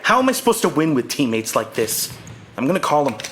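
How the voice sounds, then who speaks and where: A man voicing an intense, driven young rich brawler in an animated production. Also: brisk, noisy background. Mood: intense, determined. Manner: earnest, driven, highly strung.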